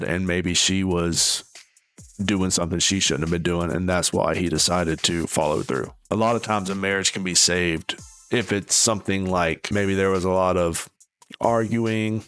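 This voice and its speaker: A man's voice: low-pitched, fairly bright tone. A man giving casual, opinionated reaction commentary as a video host, in a sardonic, dismissive manner. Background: background music.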